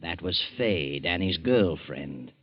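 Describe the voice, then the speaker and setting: An actor playing an ominous, all-knowing mystery narrator in a theatrical, deliberate 1940s radio style. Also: male voice, deep voice, animated, no echo, heavily acted. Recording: muffled 1940s radio, music behind.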